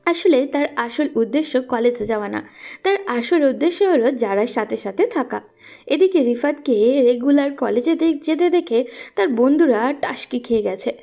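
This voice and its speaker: A woman's voice: sits high, expressive, moderate pace, mellow. A woman narrating a scripted romantic audio story.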